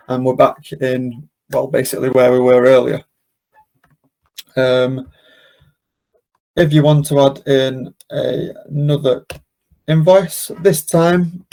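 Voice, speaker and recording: A man presenting an informal software walkthrough, explaining casually step by step. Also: mid-range voice, poor audio. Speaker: software demonstrator, webinar presenter. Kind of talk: informal screen-share walkthrough.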